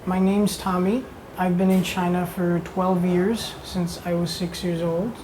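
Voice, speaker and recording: A man's voice: high-pitched, level tone, a little slow, background noise. A young man from Italy speaking as a panelist in a casual round-table discussion, hesitant and searching for words.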